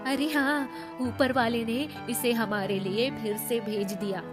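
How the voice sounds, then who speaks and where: Lady doing a kind wise grandma in a kids cartoon story, gentle, fairly high voice. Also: steady tone, a little slow, faint music behind, lightly acted. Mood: relieved. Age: older. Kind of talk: Hindi moral story cartoon acting.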